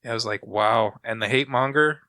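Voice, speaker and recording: Male co-host, easygoing chat, bright tone, dry recording. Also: mid-range voice, normal speed, dry recording. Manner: relaxed, agreeable back-and-forth. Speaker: podcast co-host. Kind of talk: casual chat.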